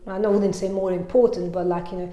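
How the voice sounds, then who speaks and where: A woman with a Hungarian accent talks through her story in a loose, chatty way, peppering it with "you know" and easy laughs. Her pitch sits in a middle range but barely moves, staying quite level. The sound bounces around an echoey room. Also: little pitch movement, a little fast, dark tone. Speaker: mountain bike coach. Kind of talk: casual interview chat.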